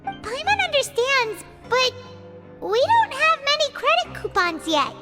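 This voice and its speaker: A woman voicing a small, childlike, excitable fairy girl with a squeaky voice in a video game. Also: unhurried, over loud music, exaggerated voice. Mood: thoughtful, chirpy. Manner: excitable, exclaiming, refers to self in third person. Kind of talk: video game cutscene voice acting.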